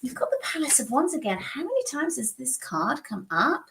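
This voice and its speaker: A woman's voice: sits high, sing-song, a little fast, gravelly, a bit bright, slight background noise. A woman hosting a live-stream tarot reading, chatty and reassuring, often repeating herself. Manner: chatty, repeats phrases, reassuring spiritual talk. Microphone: streaming setup.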